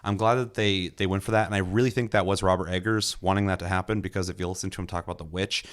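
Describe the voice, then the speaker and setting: A young man with a low-pitched voice talks casually about a film he enjoyed. He is loose and enthusiastic, full of ums and restarts, and now and then throws in a curse word. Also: steady tone, fast. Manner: loose and enthusiastic, many fillers, occasional swearing. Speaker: movie reviewer, podcast host. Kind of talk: casual film review chat.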